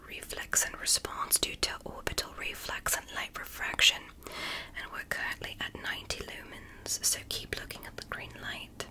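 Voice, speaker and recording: A woman voicing a calm, clinical female alien doctor in a whispered ASMR roleplay. She speaks softly and reassuringly, with precise, measured instructions. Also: lightly acted, rough recording.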